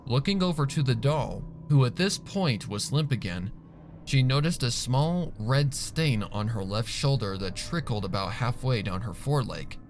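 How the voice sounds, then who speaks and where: Lively male story narrator over music. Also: mid-range voice, moderate pace. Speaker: fan fiction narrator. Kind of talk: dramatic scripted story narration. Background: background music.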